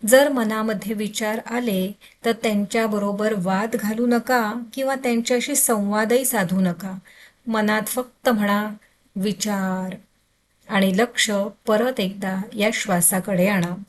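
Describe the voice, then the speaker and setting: Woman doing guided meditation, slow n soothing, mid pitch, lots of pauses between short phrases. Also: steady tone.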